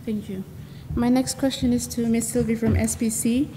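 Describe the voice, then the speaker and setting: A woman's voice: fairly high pitch, steady tone, moderate pace. A woman moderating a conference panel, formal and brief as she reads out a question. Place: large conference hall. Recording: hall reverb, faint noise.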